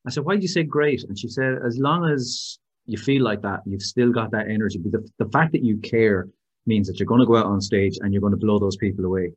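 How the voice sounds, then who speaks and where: Irish man, Waterford accent, low husky voice, easygoing host, dull lo-fi recording. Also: fairly quick. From Ireland, Waterford. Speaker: podcast host. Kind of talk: podcast interview.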